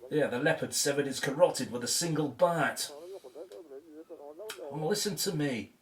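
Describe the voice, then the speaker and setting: A man's voice: a little high, moderate pace, natural voice. An actor playing a chatty, genteel male archaeologist with a British accent in an audio drama. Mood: chatty, wistful.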